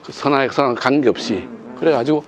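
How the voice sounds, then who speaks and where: Man telling a relaxed anecdote. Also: mid-range voice, fast talker, crowd noise. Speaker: panelist. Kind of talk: panel discussion.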